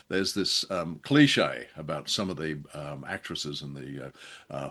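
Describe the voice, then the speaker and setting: A man with a low-pitched voice co-hosts a casual review discussion. His delivery is conversational and somewhat hesitant, with a jokey streak as he trades thoughts with a fellow host. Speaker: podcast co-host. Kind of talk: casual film and radio discussion.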